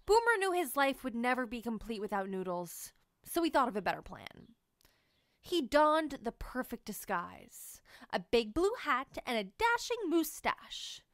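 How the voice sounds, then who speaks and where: A woman reading a children's picture book aloud in an expressive, animated storytelling manner. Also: high-pitched, dry recording, clean studio sound. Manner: animated storytelling, sing-song. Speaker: read-aloud narrator.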